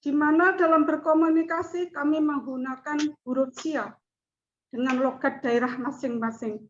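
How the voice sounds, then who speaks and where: A middle-aged woman giving a formal class presentation in measured, deliberate speech. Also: high-pitched, fairly even intonation. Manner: slow, measured speech with chanted recitation. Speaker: student presenter. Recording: dull lo-fi audio.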